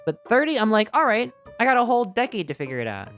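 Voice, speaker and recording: A game streamer in their thirties with a mid-pitched voice, talking over music. The delivery is loose and casual, full of slang and swearing. They riff on themselves in a self-deprecating way and get animated while doing it. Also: quick pace. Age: 30s. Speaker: video game livestreamer. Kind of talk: casual livestream chat over gameplay, reading and answering viewer comments.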